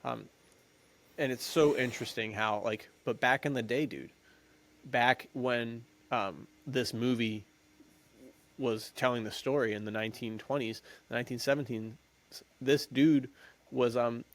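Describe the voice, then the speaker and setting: A man's voice: mid-range voice, fairly even intonation, unhurried. A young American man co-hosting a podcast, telling stories in a slangy, jokey, casual way. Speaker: podcast co-host. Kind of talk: casual chat.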